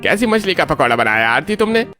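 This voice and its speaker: A man's voice: fairly high pitch, big pitch swings, brisk, over music. A man voicing a disgusted elder brother-in-law in a Hindi cartoon story, complaining with exaggerated wails.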